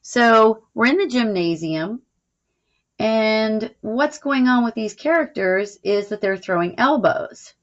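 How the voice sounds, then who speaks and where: A woman's voice: on the high side, slow. Woman teacher, dull lo-fi recording. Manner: informal, explanatory, frequent "all right" check-ins. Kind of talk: recorded read-aloud lesson explanation. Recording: dull, lo-fi audio.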